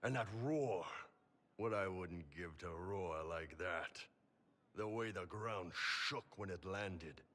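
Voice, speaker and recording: Big horned game warrior, low gravelly voice, bright forceful edge, hearty, boisterous, unhurried. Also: male voice. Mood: boisterous, relishing. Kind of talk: video game character acting.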